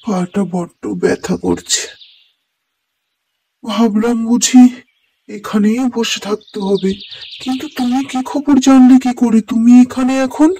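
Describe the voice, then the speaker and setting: A woman playing a poor, worried old male woodcutter in a cartoon, speaking in a slow, fretful, plaintive way. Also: on the high side, dark tone, husky. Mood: pained. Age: older. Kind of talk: cartoon moral-story dialogue. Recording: noisy track with birdsong.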